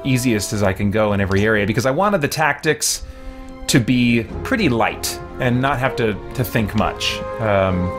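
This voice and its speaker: A man's voice: fairly low pitch, moderate pace, over music. Casual man rambling through game commentary. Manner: rambling, thinking aloud. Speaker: gaming show host. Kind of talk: casual let's-play commentary. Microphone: microphone with a fan nearby.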